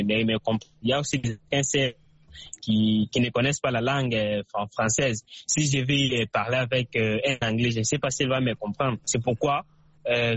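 A man from the DR Congo, a Tshiluba speaker, calling in to a radio show and giving his view slowly, with many hesitations and repeats. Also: mid-range voice, fairly bright tone, husky, no room sound. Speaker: caller. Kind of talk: radio call-in opinion. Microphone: phone line. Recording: dull dry phone call on radio.

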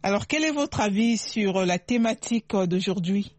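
A radio host who moderates a call-in show, asking the callers questions in a polite, brisk way. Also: medium pitch, expressive, no room sound.

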